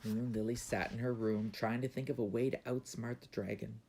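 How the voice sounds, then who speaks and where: A man's voice: mid-range voice, little pitch movement, moderate pace, vocal fry, a little mellow, breathy edge. A man reading his own children's book aloud as a storybook narrator.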